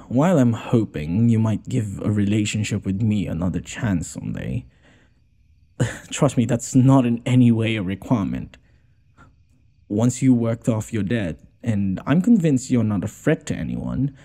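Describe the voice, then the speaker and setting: Casting: male actor for a smitten, apologetic noble lord in a boyfriend roleplay; husky, lively intonation, halting speech with sighs. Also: mid-range voice. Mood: earnest, defensive. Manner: hesitant, stammering, sighing. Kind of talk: intimate boyfriend audio roleplay.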